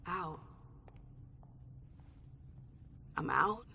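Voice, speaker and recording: An actress playing a guarded, determined vampire envoy in a video game, earnest and direct. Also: female voice, lowish voice, animated, a little slow, creaky, bright, forceful tone, a little hiss or hum, full character voice. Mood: confused, defensive. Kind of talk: dramatic video game acting.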